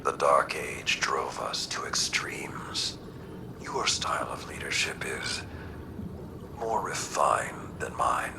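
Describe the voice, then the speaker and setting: A man playing a grave, battle-worn mentor who talks bluntly in measured, hushed tones in a video game. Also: extremely low pitch, lively intonation, gravelly, background noise, full character voice. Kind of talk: scripted game dialogue.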